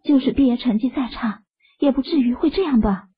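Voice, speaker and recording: An actress voicing an anxious young woman adrift at sea in an audio drama, with a breathy, husky voice. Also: sits high, fairly quick. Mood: puzzled. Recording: slightly dull lo-fi audio.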